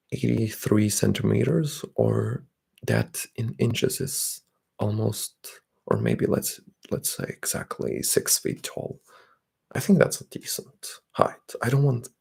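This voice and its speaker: Gravelly, breathy whispering man. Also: fairly low pitch. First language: Bulgarian. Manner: soft-spoken, drifting into whispers. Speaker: ASMR streamer. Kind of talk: ASMR livestream chat, reading comments.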